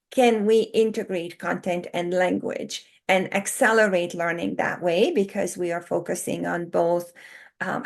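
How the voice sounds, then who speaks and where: Hungarian-born woman, lively and expressive. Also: medium pitch. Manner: animated, expressive, pauses to rephrase. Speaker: co-author and education guest. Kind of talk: conversational podcast interview.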